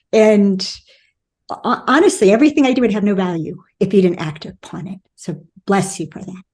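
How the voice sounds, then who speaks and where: An American woman speaking as a guest relationship expert, emphatic and quick to laugh. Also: mid-range voice, warm tone. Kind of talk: casual interview talk.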